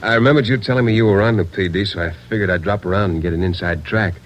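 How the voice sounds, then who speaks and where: A man's voice: on the low side, racing, close to an everyday voice. A man acting a friendly, eager American ex-serviceman of thirty in a 1940s radio drama. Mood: friendly, earnest. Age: 30s. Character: friendly thirty-year-old ex-serviceman, eager would-be cop. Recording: noisy 1940s radio recording.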